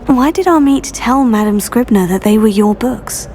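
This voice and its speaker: A woman playing a calm, helpful teenage witch heroine in a video game, measured and kindly, with a British accent. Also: a little high, close to an everyday voice. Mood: composed. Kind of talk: video game dialogue acting. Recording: faint background ambient noise.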